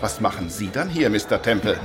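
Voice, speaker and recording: An actor playing a jovial, patronizing police inspector with a breezy manner in a radio crime drama. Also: male voice, fairly low pitch, very animated, fairly quick, music in the background. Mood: wry.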